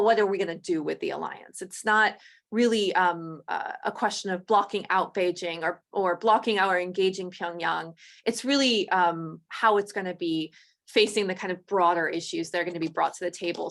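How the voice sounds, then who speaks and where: Female panelist, gravelly, measured analysis, frequent fillers. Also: mid-range voice, a bit bright. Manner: measured, frequent um and you know. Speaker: webinar panelist. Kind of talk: analytical remarks.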